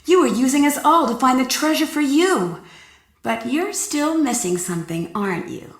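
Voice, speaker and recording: Young female sleuth for a game: earnest, curious, animated delivery, close and dry. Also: high voice, moderate pace, husky, dry recording, full character voice. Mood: accusing. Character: confident, inquisitive young female amateur sleuth. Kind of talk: video game voice acting.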